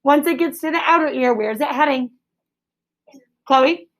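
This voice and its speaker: A woman's voice: high-pitched, moderate pace, a bit bright. A woman teaching a class as a schoolteacher, explaining in simple phrases and prompting pupils with leading questions in an encouraging way.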